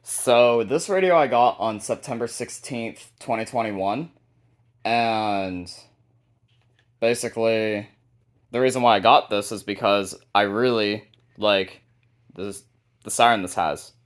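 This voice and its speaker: A man's voice: fairly low pitch, slow pace. A man hosting a hobby video, narrating a casual show-and-tell of a collection.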